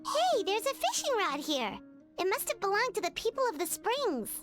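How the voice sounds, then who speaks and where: A woman voicing a small, perky, childlike fairy companion in a video game, curious and quick to exclaim. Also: very high voice, big pitch swings, soft background music. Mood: curious, eager. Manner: perky, exclaiming, refers to self by own name.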